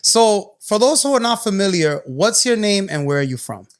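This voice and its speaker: A man's voice: high-pitched, sing-song, normal speed. Chatty New England podcast host, man. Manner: casual, chatty, filler-heavy questions. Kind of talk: casual podcast interview.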